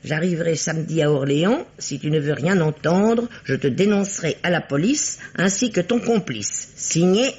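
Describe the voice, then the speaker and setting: Bossy older aunt, swooping delivery. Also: female voice, fairly low pitch, lively intonation, darkish tone, slightly rough. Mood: conspiratorial. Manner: brisk, chiding, authoritative. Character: bossy, brisk older aunt, a hatmaker, scolding and composed. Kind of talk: vintage French radio mystery acting. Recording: dull, muffled vintage radio drama sound.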